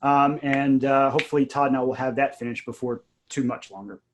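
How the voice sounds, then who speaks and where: A man's voice: mid-range voice, level tone, a little fast, a little hiss or hum. A man speaking casually and conversationally as the co-host and producer of an audio drama show. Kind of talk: casual behind-the-scenes chat.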